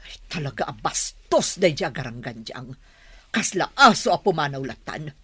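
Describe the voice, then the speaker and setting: A woman's voice: low voice, big pitch swings, moderate pace, husky, heavily acted. A woman playing a suspicious, haughty mother of a grown son, scolding and nagging in an audio drama. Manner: sharp, nagging, exclamatory. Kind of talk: melodramatic audio drama acting.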